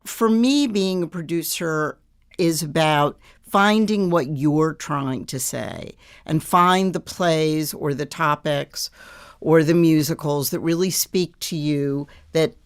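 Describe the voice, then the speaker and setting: Female producer on a panel: clearly low voice, earnest, conversational, leans on key points. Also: slow. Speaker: producer guest.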